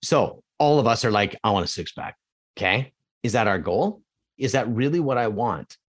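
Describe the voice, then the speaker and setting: A middle-aged man from Canada, a coach being interviewed, talking emphatically with rhetorical questions and swings between excited and quiet reflection. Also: mid-range voice, normal speed. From Canada (Edmonton). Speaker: real estate coach, guest. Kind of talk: casual podcast interview.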